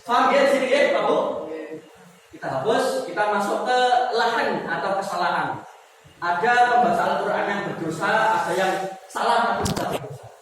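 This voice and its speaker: A man's voice: sits high, moderate pace, a bit bright, a little hiss or hum. A male teacher giving a tajwid lesson in a mosque hall. He explains step by step, repeats key words and asks the class questions. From Indonesia. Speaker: Quran recitation teacher. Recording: roomy echo with long reverb, from far off.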